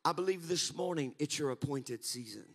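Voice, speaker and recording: Pastor in his 30s, high voice, fiery sermon, handheld mic on a church stage. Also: moderate pace. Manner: fervent, rises to shouts, paces the stage. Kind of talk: energetic Pentecostal sermon. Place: church stage, mid-sized hall.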